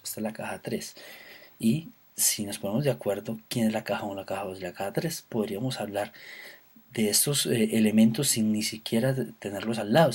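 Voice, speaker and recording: Husky-voiced man teaching a programming class. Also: lowish voice, a little mellow. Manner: patient explanation with fillers and self-corrections. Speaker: programming instructor. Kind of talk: recorded lecture.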